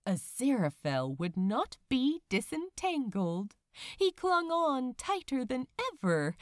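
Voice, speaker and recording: A woman reading fiction aloud as an audiobook narrator, with dramatic, deliberate phrasing. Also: a little high, no room sound, studio quality. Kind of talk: expressive scripted story narration.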